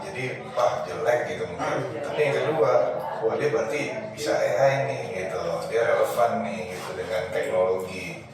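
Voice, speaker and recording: A man's voice: on the low side, normal speed. A man with a Jakarta Indonesian accent giving casual interview advice, informally explaining things with quoted examples and rhetorical questions. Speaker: interview guest, creative industry professional. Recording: very echoey room, distant mic, music behind.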